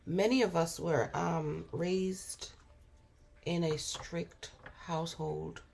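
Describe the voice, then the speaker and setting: A hoarse woman with a cold, giving a spiritual tarot reading in an unhurried, musing way with frequent pauses and fillers. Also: fairly low pitch, fairly even intonation, a little mellow, a little hiss or hum. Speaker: tarot reader.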